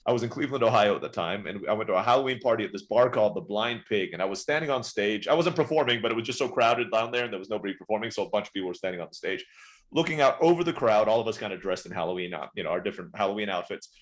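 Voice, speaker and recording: Fast-talking man with a low voice. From born in the Philippines, raised in Turkey. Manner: rapid, chatty, often saying "right?". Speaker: podcast guest, entrepreneur. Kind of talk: casual interview storytelling.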